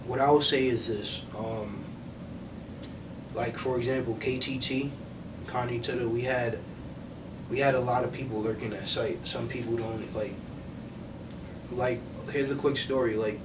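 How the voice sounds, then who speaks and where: Young male interview guest, earnest and reflective, conversational, average pitch, recorded off-mic over clear noise. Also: fairly even intonation, measured pace, pauses often, recorded from a distance. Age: young adult. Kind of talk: casual sit-down interview. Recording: roomy, off-mic, noisy with low rumble.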